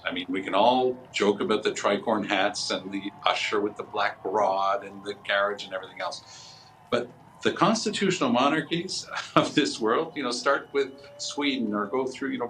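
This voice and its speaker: A man's voice: lowish voice, normal speed, a bit bright, poor audio. A man with a Canadian accent giving emphatic political commentary on live TV, with some background noise.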